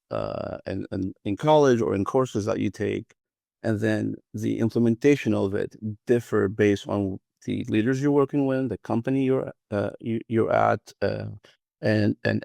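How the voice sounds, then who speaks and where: A middle-aged man from Iraq answers interview questions, often stalling on fillers and restarting his sentences. His voice sits low and has a gravelly fry. The recording is close and dry, with no room sound. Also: darkish tone, no room sound. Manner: hesitant, many fillers and restarts. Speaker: guest, analytics professional.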